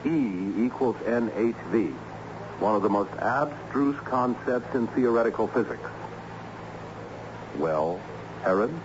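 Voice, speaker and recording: An actor playing an earnest, learned schoolteacher who speaks insistently and didactically in a radio drama. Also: male voice, medium pitch, lively intonation, takes it slowly, pauses often. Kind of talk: old-time radio drama acting. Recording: muffled, noisy radio drama recording.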